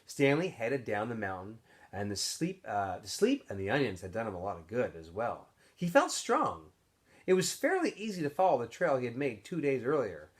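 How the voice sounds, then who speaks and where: Man reading aloud with very lively pitch. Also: normal speed. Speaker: teacher reading aloud to students. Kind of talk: expressive storybook read-aloud narration.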